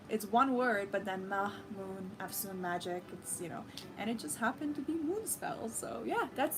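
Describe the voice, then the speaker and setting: A woman's voice: on the high side, slight background noise, poor audio. A young woman whose first language is Farsi, hosting a livestream and chatting casually with her viewers, with many fillers. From Iran. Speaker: livestream host. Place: living room with a red sofa, indoors.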